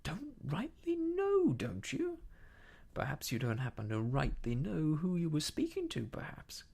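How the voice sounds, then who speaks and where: A man's voice: fairly high pitch, huge pitch swings, measured pace, vocal fry, a little mellow, husky, pitched above the performer's own voice, heavily acted. A man playing a cunning one-legged seafaring landlord in an audiobook, blustering in salty sailor talk, hearty one moment and stern the next. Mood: scornful.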